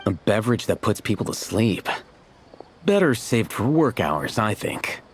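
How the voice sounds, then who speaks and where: A man voicing an aloof, deadpan young male scholar in a game, terse and dry. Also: fairly low pitch, unhurried, noisy background. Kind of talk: game voice acting.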